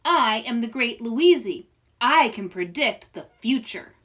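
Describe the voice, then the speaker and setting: Lady doing a bossy little chipmunk fortune teller for a kids book, high n loud, super dramatic, drawn out. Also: expressive. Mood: grandiose. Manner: pompous, dramatic, drawn-out declarations. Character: small female chipmunk, grandiose pretend fortune-teller.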